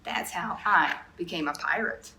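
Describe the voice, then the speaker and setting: A woman's voice: fairly low pitch, unhurried, background noise. A woman storyteller reading a picture book aloud expressively, slipping in brief asides to young listeners. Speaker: children's storyteller.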